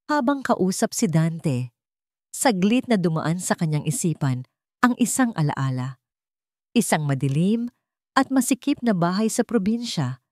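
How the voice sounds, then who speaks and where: A woman tells a scripted romantic drama. Her voice sits a little low for a woman, and the sound is dry and close, with no room around it. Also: very animated, measured pace, long pauses, darkish tone, no room sound. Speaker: storyteller.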